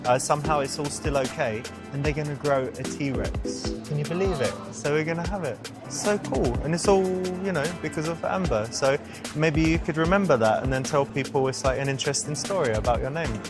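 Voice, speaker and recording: Male presenter, conversational, explanatory coaching delivery, music clearly behind. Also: on the high side, brisk. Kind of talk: casual instructional commentary and acted conversation.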